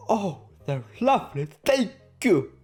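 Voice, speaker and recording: A man's voice: very high voice, big pitch swings, slow, a little mellow, pitched above the performer's own voice, full character voice. A man voicing a gushing, easily delighted young diner worker in a game, in an exaggerated, swooning manner. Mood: touched, grateful. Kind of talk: game dialogue read aloud. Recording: music behind the voice.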